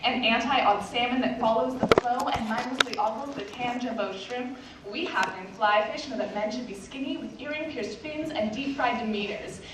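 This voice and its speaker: Teen female slam poet, high-pitched, theatrical and wry, captured in a very reverberant room. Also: moderate pace. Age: 14, teenager. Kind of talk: slam poetry recital before an audience. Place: indoor live poetry slam, roomy space. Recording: very echoey room, distant mic, background noise.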